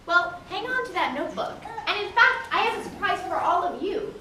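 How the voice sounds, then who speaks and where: A woman's voice: very high voice, expressive, fairly quick, bright, forceful tone, heavily acted. A woman playing a friendly, instructive nature guide in a live children's puppet show. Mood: encouraging. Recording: echoey hall, distant mic, background noise.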